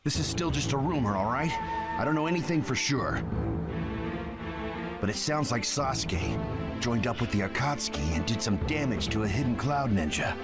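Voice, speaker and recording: A man's voice: medium pitch, expressive, normal speed, close to an everyday voice. A man voicing a blunt, urgent young ninja delivering bad news in an English game dub. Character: rough young male ninja, bearer of news. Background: game music.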